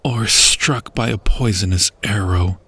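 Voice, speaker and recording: A man playing a dying, war-weary king and loving husband in a fantasy audio roleplay, husky, tender and broken, with archaic phrasing. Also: lowish voice, measured pace, sharp tone, exaggerated voice. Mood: broken, sorrowful. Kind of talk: fantasy ASMR roleplay acting.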